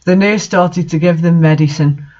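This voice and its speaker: A man with a high voice reads amateur fiction aloud in a mocking way. He breaks off for incredulous, exasperated commentary. The recording is dull and lo-fi. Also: fairly even intonation, normal speed, a little mellow. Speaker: fan fiction reader and commentator. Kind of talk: mocking dramatic reading with commentary.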